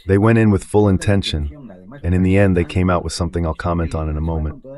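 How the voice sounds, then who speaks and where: A man's voice: low-pitched, steady tone, quick pace, mellow. A man giving emphatic, sarcastic political commentary as a guest analyst, in a translated voice-over.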